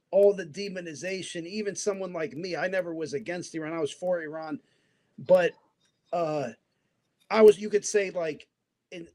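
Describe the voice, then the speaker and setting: A man's voice: on the high side, darkish tone. A man who grew up in the United States, talking politics on a podcast in a rambling way, full of restarts. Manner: rambling, many restarts and asides. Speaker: podcast guest. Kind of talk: casual political discussion.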